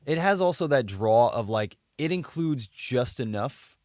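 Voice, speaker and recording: A man's voice: medium pitch, lively intonation, a little slow, darkish tone, no room sound, studio quality. A man co-hosting a podcast, talking casually about movies with loose, conversational phrasing. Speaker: podcast co-host.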